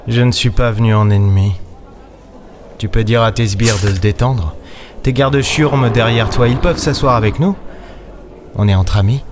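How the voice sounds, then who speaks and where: A man playing a weary, sardonic space captain in an audio drama, speaking in a measured, wry storytelling way. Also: medium pitch, noisy background, natural voice. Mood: cool, threatening. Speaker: lead character and narrator. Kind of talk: audio drama acting and first-person narration. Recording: music behind the voice. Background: music.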